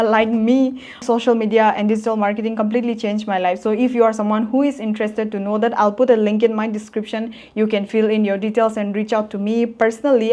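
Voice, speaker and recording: A woman's voice: on the high side, darkish tone. A woman in her twenties talking straight to camera in a casual motivational vlog, earnest, blunt and emphatic. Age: 20s. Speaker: vlogger.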